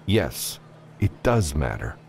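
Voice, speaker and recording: A man voicing a gruff, wary freelance spaceship captain who speaks bluntly and sternly in an audiobook. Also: a bass voice, very animated, takes it slowly, a little mellow, slight background noise, full character voice. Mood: suspicious.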